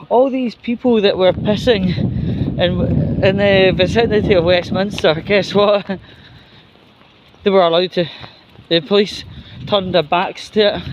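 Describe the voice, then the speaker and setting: A man giving casual, opinionated news commentary in a rambling, halting, sweary way. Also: high voice, muffled recording. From United Kingdom. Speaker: host of his own commentary vlog.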